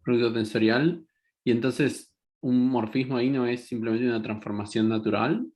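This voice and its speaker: A man's voice: mid-range voice, steady tone, moderate pace, darkish tone. A man in the audience asking the lecturer a tentative clarifying question.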